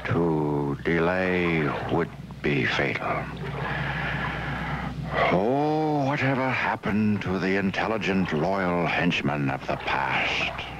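An actor playing a scheming, pompous old criminal mastermind in a vintage cartoon, with a grandiloquent, theatrical manner. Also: male voice, sits low, animated, slow, lo-fi sound, very noisy. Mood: exasperated. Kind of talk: vintage cartoon villain acting. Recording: loud music behind, old TV cartoon soundtrack.

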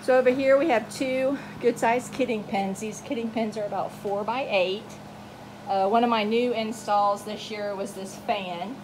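A woman's voice: a little high, fairly even intonation, moderate pace, noisy background. A woman narrating a casual walkthrough video tour as a farm owner, recorded on a handheld phone in a wooden barn. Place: wooden goat barn with open doors. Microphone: handheld phone, behind the camera.

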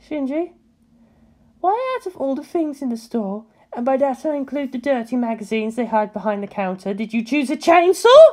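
Woman acting bossy young officer lady, swooping high. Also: animated, normal speed. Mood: incredulous. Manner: exclaiming, teasing, indignant.

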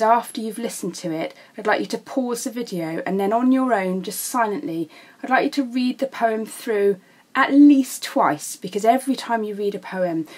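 A woman with a British accent explaining things as an English teacher in a recorded lesson, in a measured, instructive way. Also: on the low side, a little mellow, slightly rough.

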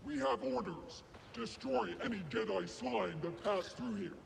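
A literal-minded killer robot for a video game. The voice is high-set and heavily crackling with gravel, processed into a mechanical deadpan. Stiff, clipped phrases carry cold superiority. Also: male voice, measured pace, exaggerated voice. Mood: deadpan menace. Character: literal, arrogant, ruthless heavy combat droid.

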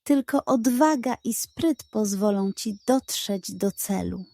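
A woman's voice: mid-range voice, slow, gravelly, mellow. A woman voicing a wise, grave female owl in a children's audiobook, speaking urgently and emphatically. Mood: solemn, instructing.

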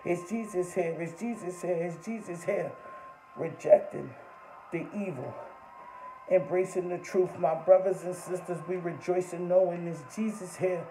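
A man leading a fervent spoken prayer, repeating short refrains in a steady rhythm. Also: high-pitched, measured pace, echoey room, soft background music, low-quality recording.

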